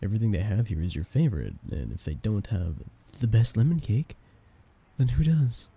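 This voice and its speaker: A man acting as an affectionate young male best friend in an intimate ASMR roleplay. His voice is low and very dark and soft, with a gravelly edge. He chats casually and teases in an expressive, playful way. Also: moderate pace, full character voice. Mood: playful disbelief. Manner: teasing, expressive, giggly.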